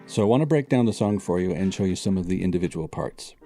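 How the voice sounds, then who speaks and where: Deep, mellow-voiced man over music. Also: animated, fast talker. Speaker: composer guest. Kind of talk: explaining his music in an interview clip.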